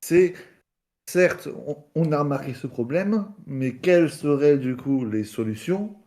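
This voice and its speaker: A man's voice: a little high, expressive, a little slow, warm tone. A man raising questions in a casual debate, speaking hesitantly. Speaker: debate participant.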